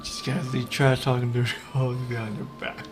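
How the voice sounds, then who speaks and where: A woman's voice: extremely low pitch, little pitch movement, a little fast, over music. A woman streaming a game, giving casual reactions and short comments over the gameplay. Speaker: gaming streamer.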